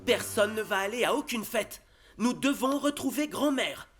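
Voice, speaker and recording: A man voicing a gentle, worried father bear in an animated film, in speech. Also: extremely high pitch, moderate pace, soft background music. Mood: worried, determined.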